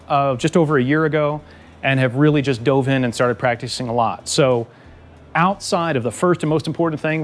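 Man casually explaining his truck build. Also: mid-range voice. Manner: explanatory, frequent fillers and restarts. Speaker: car builder and shop host. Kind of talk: casual how-to walkthrough. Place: outdoors under a truck, then a home garage.

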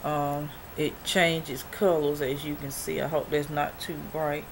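A woman's voice: sits low, flat delivery, measured pace. A woman in her forties showing off mail she received, chatty and rambling with many ums, her voice a little worn. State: losing her voice. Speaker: product review vlogger. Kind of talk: casual show-and-tell haul video. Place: small dim bedroom, indoors. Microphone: built-in webcam mic at arm's length. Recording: noisy webcam recording.

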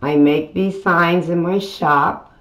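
Slow, plain-spoken woman crafter. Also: lowish voice, steady tone, a bit bright. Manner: plain, unhurried step-by-step explaining with fillers. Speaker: craft channel host. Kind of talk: casual craft tutorial voiceover. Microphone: voiceover mic.

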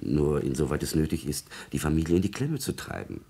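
A man acting a servile, calculating court secretary in a 1950s German radio drama, speaking in a deliberate, insinuating, deferential way with a husky voice. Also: a bass voice, expressive, dark tone, exaggerated voice. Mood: cold, calculating.

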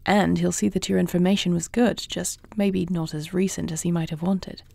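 A woman playing a poised young warrior princess in a fantasy audio roleplay, speaking with formal, measured, regal diction. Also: on the low side, steady tone, vocal fry, mellow. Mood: diplomatic. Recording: faint night cricket ambience.

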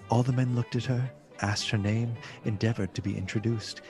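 A man's voice: medium pitch, steady tone, normal speed, over music. A man narrating a literary radio play in a formal, theatrical storytelling manner.

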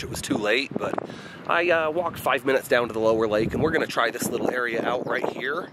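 A man in his thirties vlogging outdoors by a mountain lake in a relaxed, chatty way with plenty of fillers, recorded on an action camera. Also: medium pitch. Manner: relaxed, chatty, lots of fillers, excited exclamations. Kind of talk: casual self-filmed narration. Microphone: action camera on body or at arm's length. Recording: wind noise outdoors.

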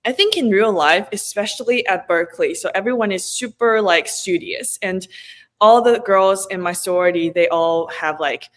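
A woman's voice: medium pitch, moderate pace. A woman in her twenties from China, a guest chatting casually on a video call from her home, talking with many fillers and frequent laughs. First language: Chinese. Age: 20s. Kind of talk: casual interview chat. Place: home room with kitchen shelves. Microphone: video call, laptop camera.

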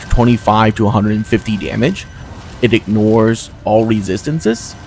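Gravelly chatty gamer guy. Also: fairly low pitch, darkish tone. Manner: chatty, reacting to the game. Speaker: gameplay commentator. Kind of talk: casual game commentary.

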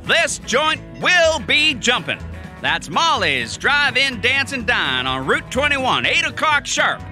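An upbeat small-town radio promoter in an audio drama. The voice is high for a man and very bright, with pitch that moves in lively swings. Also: slow, faint music behind, full character voice. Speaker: radio announcer.

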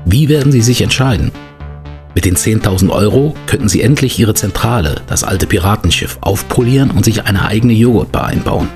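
A man's voice: lowish voice, fairly quick, a little mellow, soft background music, lightly acted. A man playing the suspense-building narrator of an audio drama adventure. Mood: suspenseful.

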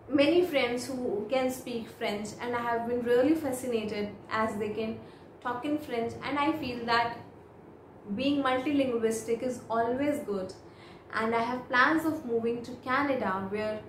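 A woman in her thirties with an Indian English accent, reading exam questions aloud and answering them as model replies, in steady, formal, rehearsed phrasing. She speaks close to a camera in a small room. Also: fairly high pitch, roomy sound, slight background noise, low-quality recording. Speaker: IELTS speaking practice demonstrator.

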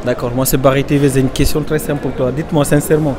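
A man's voice: medium pitch, little pitch movement, fast talker. A young man interviewing strangers in a shopping centre with a handheld mic, teasing and joking with them in a casual way. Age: young adult. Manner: teasing, joking, laughs along. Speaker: street interviewer. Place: busy indoor shopping centre. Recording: noisy public mall ambience.

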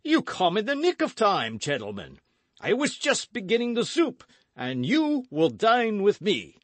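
A man's voice: sits high, wildly swooping pitch, measured pace, dry recording, pitched above the performer's own voice, exaggerated voice. A man voicing a boastful, hearty gourmand musketeer with a grand, exclamatory delivery in a storybook reading. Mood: hearty, expansive.

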